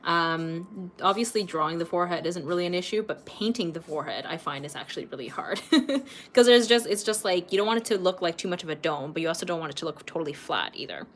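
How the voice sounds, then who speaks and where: A woman's voice: lowish voice, quick pace, slight background noise. Chatty Canadian woman livestreaming art. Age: late 20s. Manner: chatty, rambling, laughs often, many ums. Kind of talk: casual livestream chat.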